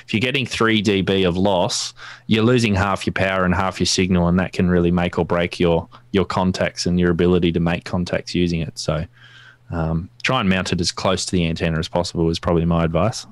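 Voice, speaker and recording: A man's voice: low-pitched, level tone, fairly quick, no room sound. An Australian man in his thirties explaining technical things casually as a guest expert on a livestream, close on a studio mic. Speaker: guest ham radio expert. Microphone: close studio mic on boom arm, with headphones.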